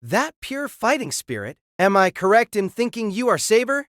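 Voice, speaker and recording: Guy playing noble spear knight in audio drama, polite and formal, very varied pitch, dry sound. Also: very animated, normal speed, fairly bright tone, no echo. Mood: courteous challenge. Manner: formal, cool, gallant. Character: courteous, valiant, handsome male spearman knight spirit.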